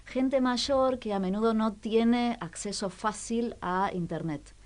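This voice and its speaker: A woman radio presenter with a Rioplatense Argentine accent, speaking at a middling pitch. She explains things conversationally, often turning to her listeners to check they follow. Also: takes it slowly, darkish tone. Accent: Rioplatense Argentine Spanish. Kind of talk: casual explanatory radio chat.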